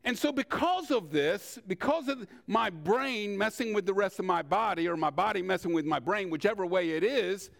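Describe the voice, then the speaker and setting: An older man preaching in a conversational, storytelling way, with rhetorical questions and deliberate pauses. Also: sits high, expressive, vocal fry. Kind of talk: sermon with personal anecdotes.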